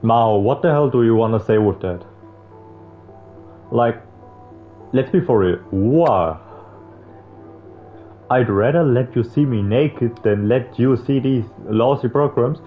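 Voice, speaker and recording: A man's voice: mid-range voice, takes it slowly, long pauses. A young man streaming a game, reading its text aloud and breaking off into casual, slangy, sweary joking. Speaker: streamer playing a visual novel. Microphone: streamer microphone with face cam. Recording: narrow phone-like audio with music behind. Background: game music.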